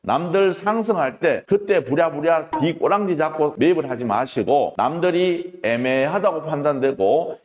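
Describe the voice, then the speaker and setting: A man's voice: fairly high pitch, darkish tone, in a large hall. A middle-aged man with a stuffy nose from a cold, giving an emphatic lecture-style talk as a real estate auction mentor, full of rhetorical questions. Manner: emphatic, rhetorical questions, ends points with "that is what I mean".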